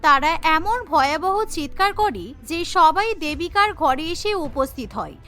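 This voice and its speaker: A woman's voice: high-pitched, animated, normal speed, bright, forceful tone, faint music behind. A woman narrating a cartoon story in a scripted storytelling manner. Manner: storytelling, voices every character.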